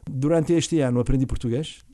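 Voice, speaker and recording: Fast-talking man with a Bulgarian accent. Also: medium pitch, dark tone, no echo, studio quality. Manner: halting, with frequent restarts and fillers. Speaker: interview guest, violinist and orchestra founder. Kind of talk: casual interview storytelling.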